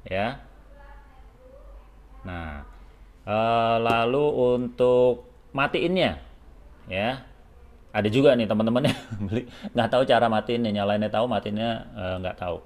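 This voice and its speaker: Low-voiced man giving a casual tutorial. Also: measured pace, long pauses. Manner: informal, step-by-step, peppered with short check-in tags. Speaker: product tutorial presenter for a helmet shop. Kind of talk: casual hands-on product demonstration.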